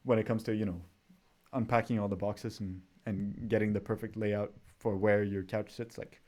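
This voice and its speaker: A man from Sweden hosts a casual interview. His voice sits on the low side, and he talks in a relaxed, rambling way, often restarting his sentences. He is speaking over a remote call. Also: moderate pace. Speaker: podcast host. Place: home.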